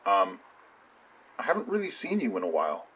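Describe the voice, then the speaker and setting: A man's voice: lowish voice, huge pitch swings, brisk, pushed and bright, slightly rough, full character voice, rough recording. A man playing an awkward, hesitant character in a naturalistic audio drama.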